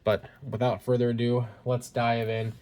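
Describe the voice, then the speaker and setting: A man's voice: mid-range voice, monotone, moderate pace, darkish tone. A man talking casually while opening trading cards, slightly congested from a cold, reading card text aloud, recorded on a phone. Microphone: phone recording at point-of-view angle.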